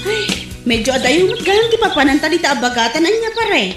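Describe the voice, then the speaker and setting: A woman's voice: very high voice, big pitch swings, fast talker, music in the background. A woman playing a complaining traveler on foot in an Ilocano comedy audio drama, whiny and exclaiming, with an Ilocano accent. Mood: weary complaint. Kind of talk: comic audio drama acting. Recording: roomy, loud birdsong and noise.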